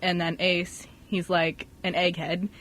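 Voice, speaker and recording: A woman's voice: fairly low pitch, level tone, a little slow, a bit bright, slight background noise. A woman co-hosting a radio show in casual, slangy, joking chat. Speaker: radio show co-host and scriptwriter.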